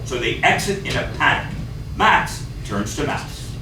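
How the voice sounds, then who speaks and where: A man's voice: mid-range voice, very animated, a little slow, creaky, music in the background. A middle-aged man reading stage directions as scripted narration for a play. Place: small theatre stage, far camera. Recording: distant, very echoey, noisy theatre recording.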